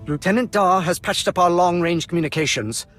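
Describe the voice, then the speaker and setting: A man's voice: sits high, fairly quick, fairly bright tone. A man playing a stern, officious military colonel in a video game cutscene, with formal, clipped delivery. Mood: measured. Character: brisk, officious male Imperial colonel, dutiful and stern. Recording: music behind the voice.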